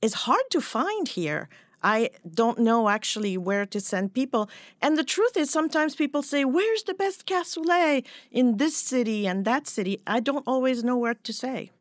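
Measured woman, French native. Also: fairly high pitch, lively intonation, dry recording, clean studio sound. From Switzerland. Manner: thoughtful, measured, with pauses and fillers. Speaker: guest, cookbook writer. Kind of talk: podcast interview.

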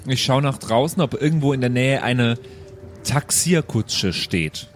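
A man's voice: mid-range voice, animated, unhurried. A man playing an improvised tabletop game, chatting casually and explaining things in rambling detail. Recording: music under the voice. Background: music.

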